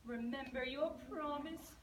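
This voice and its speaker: A woman voicing a small green male frog who pleads in a croaky, sing-song voice. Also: high voice, measured pace, roomy sound, distant mic, background noise. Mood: pleading sing-song.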